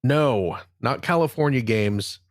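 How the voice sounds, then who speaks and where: A man's voice: mid-range voice, lively intonation, slow, no room sound, clean studio sound. A man co-hosting a podcast, chatting casually through a quiz. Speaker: podcast co-host. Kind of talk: casual quiz-show chat.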